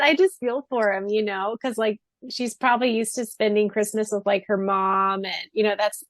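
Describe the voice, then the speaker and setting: A woman's voice: mid-range voice, no room sound. A woman co-hosting a podcast in a chatty, informal book discussion, giggly as she talks. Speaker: podcast co-host. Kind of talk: casual book discussion chat.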